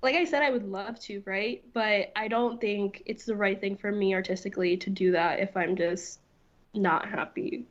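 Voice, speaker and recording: A woman's voice: mid-range voice, steady tone, moderate pace. A young woman from Puerto Rico whose first language is Spanish, a guest art student chatting casually with lots of "like" and "right?". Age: young adult. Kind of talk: casual podcast chat. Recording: slightly dull lo-fi sound.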